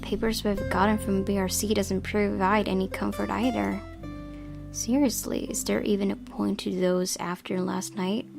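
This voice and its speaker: A woman narrates a visual novel with expression, reading as she plays. Her mid-pitched voice has plenty of creak, and music plays clearly underneath. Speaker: game player reading aloud.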